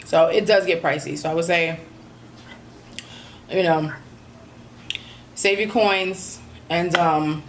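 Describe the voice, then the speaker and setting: Slightly hoarse, lower-voiced chatty woman. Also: takes it slowly, pauses often, slight background noise. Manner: relaxed, chatty, many ums and you-knows. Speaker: vlogger. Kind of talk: casual vlog recap.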